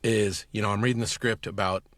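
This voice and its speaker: A man with a fairly low voice chats casually and enthusiastically, wandering through his stories. The sound is close and dry, with little room around him. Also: fairly even intonation, brisk, a bit bright, no room sound. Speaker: podcast guest, audio producer and director. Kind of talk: casual chat.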